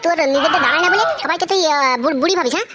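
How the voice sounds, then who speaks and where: Cartoon wife, young, crude, very high and quick, voiced by a woman. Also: very noisy. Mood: scornful. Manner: shrill, fast, scolding and foul-mouthed. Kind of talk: comic cartoon dub. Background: loud background music.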